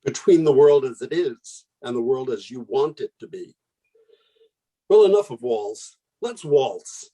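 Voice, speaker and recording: A man's voice: mid-range voice, animated, unhurried, warm tone, poor audio. A man acting in a radio play as an irreverent, sardonic young mathematician with an emphatic way of talking. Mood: teasing.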